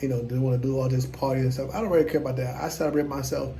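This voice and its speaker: A man's voice: medium pitch, steady tone, rapid-fire, mellow, a little hiss or hum. A man in his fifties, talking casually to camera as an investing vlogger, blunt, self-assured and rambling. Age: 50s.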